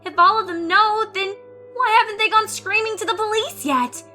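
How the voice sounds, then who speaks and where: High, anguished young female pony. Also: normal speed, soft background music. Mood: paranoid. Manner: anguished, highly emotional, swinging from hushed to screaming. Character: guilt-ridden, paranoid young female pony student unraveling into madness. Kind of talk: dramatic reading of confessional letters with music.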